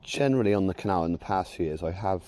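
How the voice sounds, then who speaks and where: Male angler, low warm voice, easygoing talk to camera outdoors. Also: fast. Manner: relaxed, chatty, explains his tackle. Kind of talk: casual fishing commentary. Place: canal bank outdoors.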